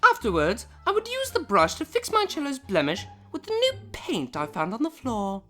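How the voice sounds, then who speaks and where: A woman as a high-voiced, prim pony cellist. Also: huge pitch swings, moderate pace, sharp tone, faint music behind, full character voice. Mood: polite menace. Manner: formal, precise, drily menacing. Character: prim, menacingly polite female pony cellist. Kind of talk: scripted comedy fan audio drama.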